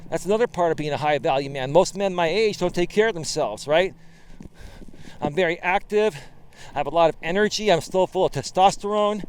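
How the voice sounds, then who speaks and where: A man in his forties with a smooth, clear voice, pitched a little higher than most men. He talks like a coach, emphatic and driving, and keeps checking in with "right?" as he walks a trail outdoors. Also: a little fast, poor audio. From Bay Area, California. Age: 40s. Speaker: dating coach. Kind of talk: walking vlog monologue.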